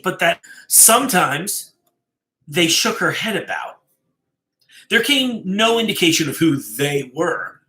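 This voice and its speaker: A man reading a story aloud as a dramatic storyteller, deliberate and suspenseful, stressing key phrases and dropping to hushed endings. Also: sits high. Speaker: storyteller, host of a live reading show.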